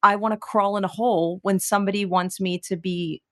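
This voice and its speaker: A woman from the United States, a singer-songwriter guest in a conversational interview, talking in an animated way as she searches for her words. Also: mid-range voice, brisk. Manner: animated, searching for words, many fillers and restarts.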